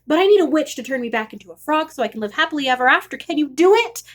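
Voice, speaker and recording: A woman's voice: high-pitched, lively intonation, racing, pitched above the performer's own voice. A woman voicing an earnest young frog prince from a storybook, pleading and easily exasperated. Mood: eager, pleading. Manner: breathless pleading, exasperated emphasis.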